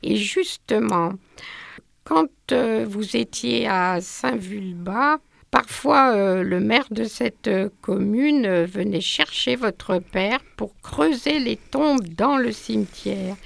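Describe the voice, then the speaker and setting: A woman interviewer asking slow, hesitant questions with many fillers. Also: on the high side, gravelly, no echo.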